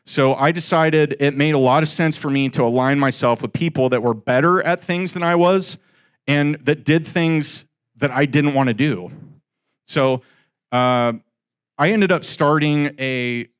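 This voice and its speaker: A man's voice: medium pitch, clean tone. A man in his forties giving an informal talk to a live audience in a room, chatty and joking, teasing listeners in asides. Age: 40s. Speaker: guest speaker at an investor meetup.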